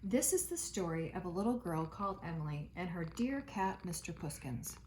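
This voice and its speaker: A woman teacher reading a children's storybook aloud, with expressive delivery and dramatic emphasis. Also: lowish voice, normal speed, slight background noise, low-quality recording.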